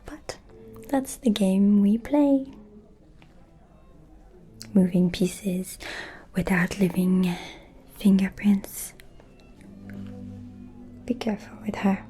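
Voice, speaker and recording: A woman playing a sly, gossiping aristocratic vampire in a whispered ASMR roleplay, breathy and knowing, as if sharing secrets. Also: mid-range voice, fairly even intonation, warm tone, faint music behind. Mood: conspiratorial, menacing. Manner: slow, knowing, conspiratorial, with lingering hums.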